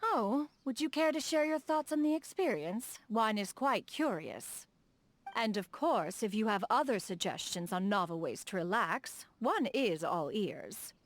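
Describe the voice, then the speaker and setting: A woman's voice: medium pitch, lively intonation, a little slow, close to an everyday voice. An actress voicing a refined, dignified immortal woman and proud inventor in a video game, speaking in a formal, stately manner. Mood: eager, proud. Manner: formal, stately, refers to herself as "one". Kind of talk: video game character dialogue.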